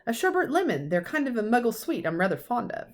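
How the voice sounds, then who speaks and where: Lady doing a gentle old wizard guy for a kids story, calm, mild, bit whimsical. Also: on the high side, fast talker, voice pushed up.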